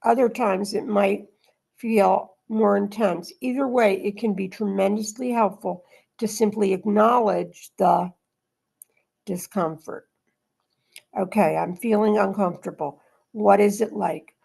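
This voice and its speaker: A woman's voice: medium pitch, fairly even intonation, unhurried, gravelly, darkish tone, slightly rough. A woman hosting a spiritual study gathering, reading aloud and talking informally with the group. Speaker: host reading aloud and leading group discussion.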